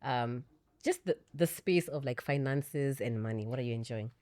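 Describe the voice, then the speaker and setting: A woman with a notably deep voice hosting a health podcast in a chatty, encouraging way. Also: moderate pace, mellow, no room sound. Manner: chatty, encouraging, many backchannels. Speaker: podcast host. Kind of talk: casual interview conversation.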